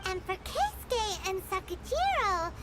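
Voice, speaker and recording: A woman voicing a tiny, excitable floating fairy companion in a video game who talks about herself in the third person. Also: extremely high pitch, measured pace, noisy background, heavily acted. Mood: curious. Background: game music.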